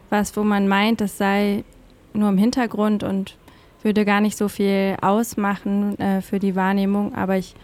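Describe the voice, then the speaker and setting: A woman's voice: mid-range voice, a little mellow. A woman giving reflective, conversational answers in an interview as an organizer.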